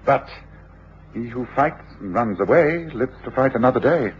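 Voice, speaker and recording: An English actor playing a commanding, coolly reasoning detective with clipped, authoritative delivery in an old British radio drama. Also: male voice, mid-range voice, lively intonation, moderate pace, lightly acted. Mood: cool, resolute. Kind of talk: 1950s-60s British radio drama acting. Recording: echoey, dull, noisy old radio recording.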